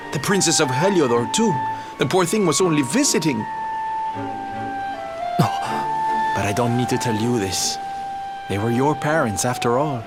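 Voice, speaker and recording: An actor playing a reflective male traveling companion in a video game, speaking wistfully. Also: mid-range voice.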